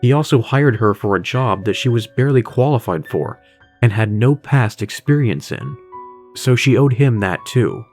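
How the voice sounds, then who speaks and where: A man narrates a scripted horror story in a medium-pitched voice. He reads as the storyteller of an audio drama. Music plays softly behind him. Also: expressive, moderate pace. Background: music bed with guitar.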